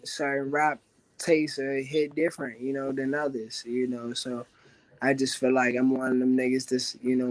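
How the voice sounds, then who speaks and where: A man from Washington, D.C. with a raspy voice, talking about his music as a guest in a casual, laid-back interview full of filler phrases. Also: mid-range voice, poor audio. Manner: laid-back, loose, full of "you know what I'm saying". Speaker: rapper guest.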